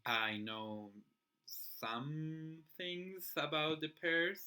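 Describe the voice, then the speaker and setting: Bright-voiced Chilean man in his twenties. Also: a little high, expressive, very slow, clear, resonant tone. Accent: Chilean Spanish. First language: Spanish. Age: 20s. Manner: chatty, many fillers and restarts, occasional laughs. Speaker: figure skating vlogger. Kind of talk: casual channel introduction.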